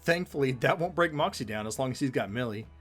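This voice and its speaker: A man's voice: mid-range voice, lively intonation, quick pace, a bit bright, breathy edge, soft background music. A man giving scripted back-and-forth commentary as co-host of a fan show.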